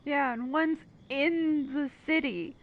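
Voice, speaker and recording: A woman's voice: high voice, slow pace, phone-quality sound. A woman giving casual, wry commentary over a video game playthrough, with joking asides. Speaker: gaming video host.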